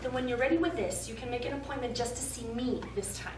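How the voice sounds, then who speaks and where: An actress playing an imperious, wealthy client in a stage play, speaking in dry, pointed, formal phrases. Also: female voice, on the high side, fast, lightly acted. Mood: dry, flirtatious. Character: sharp-tongued, cool, imperious wealthy female client. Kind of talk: live stage play acting. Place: small indoor theater studio. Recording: echoey, noisy stage recording from far off.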